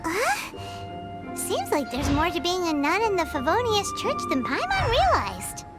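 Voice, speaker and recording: An actress voicing a tiny, chatty female fairy companion in a video game, speaking of herself in the third person with a squeaky, childlike voice. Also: wildly swooping pitch, unhurried, over loud music, full character voice. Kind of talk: video game cutscene dialogue.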